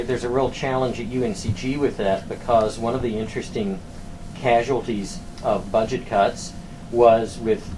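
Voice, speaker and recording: A man talking through administrative matters on a conference call, with hesitant, measured phrasing, like a university academic. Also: medium pitch. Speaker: university academic, former program director. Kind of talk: work discussion on a conference call. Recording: conference call with clear background noise.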